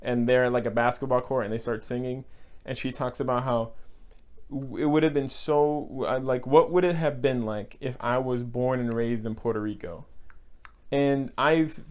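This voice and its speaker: Young texas guy gushing about a movie, smooth clear voice, repeats stuff for emphasis. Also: mid-range voice, fairly even intonation, normal speed, a little mellow. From Texas, United States. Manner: earnest, emotional, repeats words for emphasis; breaks into short laughs. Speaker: film reviewer. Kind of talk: casual movie review.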